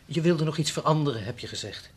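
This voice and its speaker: Een bezorgde vriend met een licht hese stem. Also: male voice, fairly high pitch, lively intonation, fast talker, slightly rough. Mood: prodding, concerned. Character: concerned male friend and fellow rhetorician. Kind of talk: 1970s radio drama acting.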